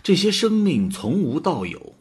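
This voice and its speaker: A man's voice: on the high side, very animated, a little slow, husky, full character voice. A man playing an earnest creator god, proud of his creations, in a theatrical audio drama style. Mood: admiring.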